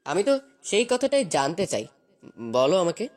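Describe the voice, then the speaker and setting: পুরুষকণ্ঠে অভিনীত ওঠানামা করা স্বরের কর্তৃত্বপরায়ণ তরুণ স্বামী. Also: male voice, high voice, big pitch swings, moderate pace, darkish tone. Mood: earnest probing. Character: possessive, domineering young husband, a jealous university student. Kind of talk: dramatized romantic story dialogue.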